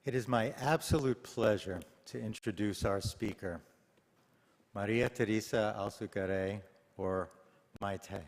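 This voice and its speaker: A man introducing the speaker at a congregation's service, in a warm, formal way. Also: lowish voice, vocal fry. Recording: long hall reverb.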